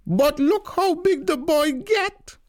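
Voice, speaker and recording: A man voicing a loud, bossy elderly island woman who scolds and shrieks. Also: expressive, normal speed. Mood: loud delighted. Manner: shrill, brash, scolding.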